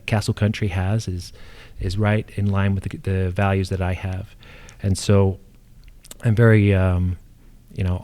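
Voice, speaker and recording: A middle-aged British man introduces himself as a political candidate. His voice is low and very mellow, and he speaks haltingly, with frequent hesitations and repeated words. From United Kingdom. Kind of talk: candidate introduction speech at a public forum.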